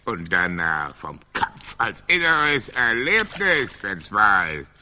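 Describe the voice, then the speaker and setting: An actor playing a gruff, earthy, rambling old crusader knight in a radio play, blunt and halting. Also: male voice, on the low side, very animated, sharp tone, a little hiss or hum, heavily acted. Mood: blunt, musing. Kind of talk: German radio play acting.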